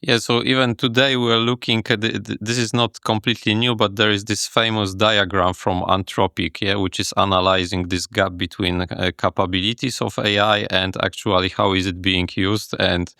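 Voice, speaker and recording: A man whose first language is Polish, a guest speaking in a podcast interview, halting with restarts and tagging sentences with yeah. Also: fairly low pitch, level tone, vocal fry, sharp tone, clean tone, no echo, studio quality. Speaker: guest manager.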